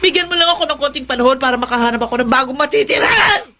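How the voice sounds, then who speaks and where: A woman's voice: high voice, very fast, sharp tone. A woman with a Filipino accent playing a brash, irritable, defiant wife in a radio drama, whiny and snappy. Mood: defiant, angry.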